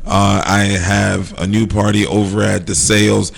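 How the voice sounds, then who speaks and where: Low gravelly new dj dude, easygoing, laughs a lot on the podcast. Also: male voice, little pitch movement, measured pace. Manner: easygoing, laughs often, says he has a powerful voice. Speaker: DJ and podcast co-host. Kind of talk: casual podcast chat.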